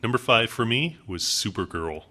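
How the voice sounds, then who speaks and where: A man's voice: fairly low pitch, lively intonation, normal speed, bright, forceful tone, breathy edge. A man co-hosting a casual podcast chat, reacting with short exclamations. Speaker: podcast co-host.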